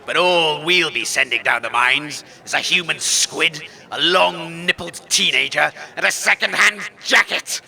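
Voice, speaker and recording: A man's voice: on the high side, unhurried, creaky, hardly any pauses, pushed and bright, full character voice. A man playing a pompous, ranting wasteland cult leader in a comic audio drama, speaking with theatrical, grandiose contempt. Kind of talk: comedic audio drama acting.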